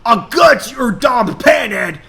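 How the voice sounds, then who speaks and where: A vengeful father villain in a parody melodrama, put on by a man. He bellows his threats in a raised, strained voice, high and forceful. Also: expressive, takes it slowly, vocal fry, full character voice. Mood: enraged. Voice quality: strained shouting.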